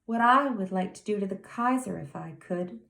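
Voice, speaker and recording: A woman's voice: on the high side, very animated, a little slow, roomy sound. A woman voicing a serious, earnest small boy of nearly ten in a storybook reading. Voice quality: grave, emphatic childlike delivery.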